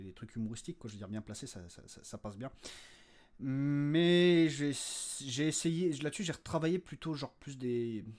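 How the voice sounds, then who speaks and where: Casual, rambling man hosting a podcast. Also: medium pitch. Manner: rambling, hesitant, often repeating words as he searches; jokey, crude slang. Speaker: creator and host of a fiction audio podcast. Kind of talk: informal unscripted update to listeners.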